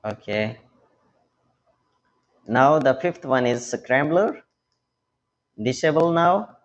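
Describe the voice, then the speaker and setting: Slow-paced man giving a halting tutorial, muffled audio. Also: mid-range voice, animated, slight background noise. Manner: instructional, halting delivery in short phrases. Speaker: tech tutorial host. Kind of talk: hands-on step-by-step product tutorial.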